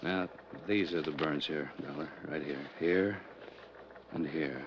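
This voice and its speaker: Matter-of-fact cop, deep voice, questioning, dry delivery, vintage radio drama, slightly noisy old recording. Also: male voice, measured pace, muffled recording. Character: matter-of-fact, dry male police lieutenant. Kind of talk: 1950s radio drama acting.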